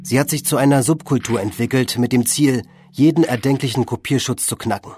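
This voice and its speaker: A man's voice: mid-range voice, fairly quick. A man reading scripted narration for a radio documentary. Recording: loud music under the voice. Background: loud music.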